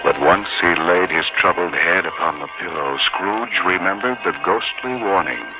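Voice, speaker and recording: A man's voice: low-pitched, moderate pace, natural voice. A man narrating a storybook tale as the narrator of a scripted 1950s radio drama. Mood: measured storytelling. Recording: dull old radio broadcast. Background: loud music.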